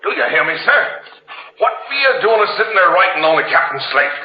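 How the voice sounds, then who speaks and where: A man's voice: high voice, very animated, fast. An actor playing a nosy, easily spooked old-time sailor with blustering, rustic seaman's speech in a radio horror play. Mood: indignant. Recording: noisy, echoing, muffled old radio recording.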